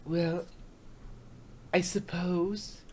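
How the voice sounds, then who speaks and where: A woman voicing a timid young cartoon pony mare, soft, breathy and hesitant, almost whispering. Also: lowish voice, fairly even intonation, extremely slow, a crowd in the background, voice pushed down. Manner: hesitant, stammering.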